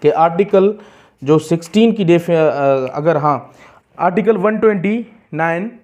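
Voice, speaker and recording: A man with a Pakistani accent giving an explanatory law lecture, deliberate and repetitive, halting when reading out in English. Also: a little high, a little mellow. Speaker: law teacher. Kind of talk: explanatory lecture mixing Hindi-Urdu and English.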